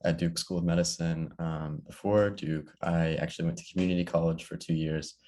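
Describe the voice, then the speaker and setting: A man's voice: sits low, flat delivery, brisk, a little mellow. A young man from small-town North Carolina introducing himself casually as a student panelist on a video call. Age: young adult.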